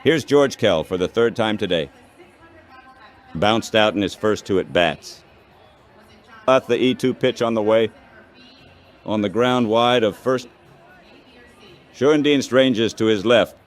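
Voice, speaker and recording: A man calling baseball play-by-play as an announcer, in short, measured phrases. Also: on the low side.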